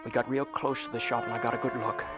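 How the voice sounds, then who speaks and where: An actor playing a paralyzed American war veteran who narrates his inner plans for revenge in a halting, intense radio-drama monologue. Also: mid-range voice, little pitch movement, lightly acted. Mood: grim recognition. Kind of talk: old-time radio drama first-person narration. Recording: dull old radio recording, loud music behind.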